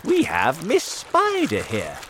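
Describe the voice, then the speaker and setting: A man voicing a kindly, courteous old male grasshopper in a storybook, avuncular and drawn-out. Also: a little high, huge pitch swings, fairly bright tone, a little hiss or hum, voice pushed up, exaggerated voice. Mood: reassuring.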